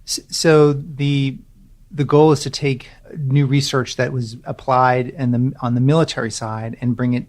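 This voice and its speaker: A man's voice: medium pitch, little pitch movement, measured pace, darkish tone. A man hosting a podcast interview, chatty and casual, often restarting his phrases as he asks questions. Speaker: podcast host. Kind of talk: conversational interview.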